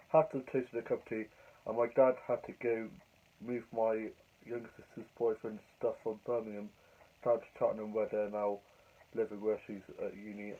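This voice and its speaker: A young man with a croaky cold voice, rambling chattily through his diary vlog. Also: on the low side. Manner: chatty, rambling, fillers and restarts. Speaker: vlogger. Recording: tinny phone-like sound.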